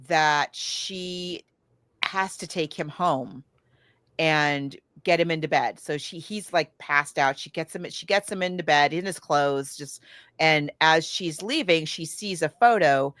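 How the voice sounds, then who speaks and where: A woman podcast host with a slightly low voice, recorded close and dry. She talks casually, rambling through a retelling as if chatting with a friend. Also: takes it slowly, a bit bright, no room sound. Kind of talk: casual chat recounting a film plot.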